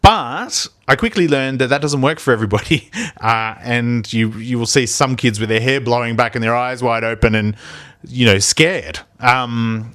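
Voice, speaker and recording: A man's voice: medium pitch, fairly bright tone, dry recording, clean studio sound. A man, a primary school teacher hosting a podcast, who talks in a reflective, rambling way with many ums and restarts. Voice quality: big voice. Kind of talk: reflective casual podcast chat.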